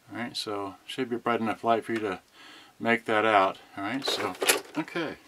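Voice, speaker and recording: A man's voice: mid-range voice, a bit bright. A man giving a casual unboxing demonstration, talking half to himself in a distracted way as he handles things. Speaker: product reviewer. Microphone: camera on tripod, varying distance. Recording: clearly noisy home recording with clicks.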